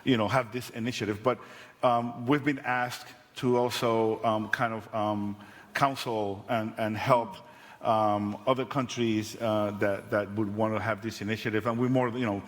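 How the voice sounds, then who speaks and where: A man on a conference panel, answering a question in a halting way and repeating words as he restarts. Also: on the low side, steady tone. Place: large conference hall. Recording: reverberant conference hall.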